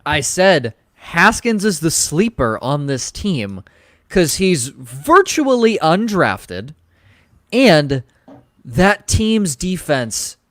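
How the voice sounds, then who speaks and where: A man's voice: a little high, big pitch swings, no echo. Confident man breaking down football, dry sound. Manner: confident, analytical, rapid-fire. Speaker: co-host. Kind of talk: casual podcast chat.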